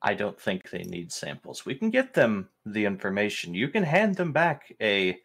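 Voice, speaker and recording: A man's voice: lowish voice, very animated, moderate pace, creaky, a bit bright. A man improvising in-character roleplay dialogue as a firm, wary spaceship captain in a tabletop game.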